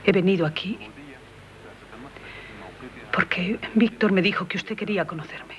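A woman's voice: mid-range voice, a little slow, natural voice. An actress with a Castilian accent playing a humble, troubled married woman in love in a 1970s radio play, formal and hesitant. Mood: pained, confessing. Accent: Castilian Spanish from Spain. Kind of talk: 1970s radio theatre acting. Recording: muffled old radio broadcast.

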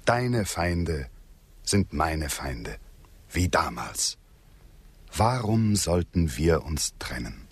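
Earnest loyal frontier guy in a radio play, deep grave voice, poetic lines, no room sound. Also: big pitch swings, drawn-out words, pauses often, slightly rough, no echo, full character voice. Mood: earnest reassurance. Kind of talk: German radio drama acting.

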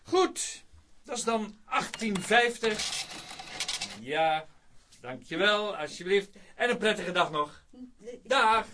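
An actor playing a fussy, easily flustered photographer in a radio comedy-drama, stammering theatrically. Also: male voice, sits high, animated, slow, pauses often, noisy background. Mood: chatty, fussy. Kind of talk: naturalistic Dutch radio comedy-drama acting.

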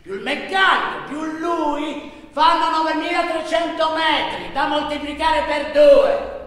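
An actor playing an anguished migrant traveller in a theatrical monologue, intense and declamatory, recorded from afar in a noisy, echoing hall. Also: male voice, extremely high pitch, measured pace. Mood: indignant, shouting. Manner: intense, declamatory, swinging from hushed to shouting. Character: anguished male migrant traveller recounting a desert truck journey. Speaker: stage actor performing a monologue. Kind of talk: dramatic theatrical monologue narration. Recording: echoey hall from far off, noisy.

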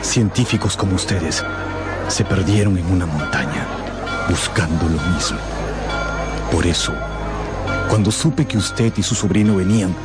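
An actor playing a stoic, loyal guide who speaks solemnly in a radio drama. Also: male voice, deep voice, unhurried, long pauses, over a noisy crowd. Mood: solemn farewell. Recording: loud music under the voice.

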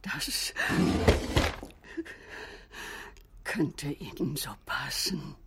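A woman's voice: low voice, slow pace, vocal fry, full character voice. An actress playing a bitter, domineering, jealous woman doctor in a radio crime drama, theatrical and venomous. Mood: defiant. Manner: theatrical, venomous, lurching from hiss to wail.

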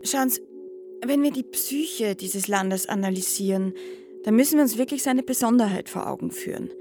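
A woman's voice: on the high side, normal speed, over music, lightly acted. An actress playing an eloquent, ironic woman lecturer in a radio play, pointed and full of rhetorical turns. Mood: ironic analysis. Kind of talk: radio play monologue, essayistic and satirical.

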